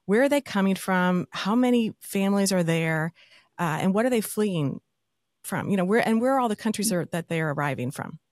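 A woman's voice: medium pitch, animated, brisk, darkish tone, dry recording, studio quality. A middle-aged woman hosting an interview, effusive and chatty, often restarting her questions. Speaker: podcast host. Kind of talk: casual interview talk.

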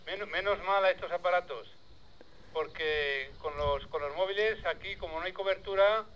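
A man in his sixties with a slightly high voice. He chats casually and in a folksy way, often repeating his words. He is recorded outdoors. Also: normal speed, fairly bright tone, lo-fi sound, slight background noise. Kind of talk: casual chat. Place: outdoors in a village and vegetable garden.

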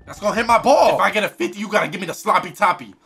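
A young man in his twenties, a streamer giving crude, loud, excitable commentary while playing a game, talking into a headset in his bedroom. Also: sits high, rapid-fire, gravelly, breathy edge, soft background music. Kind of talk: crude live gaming commentary. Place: pink-lit bedroom, indoors.

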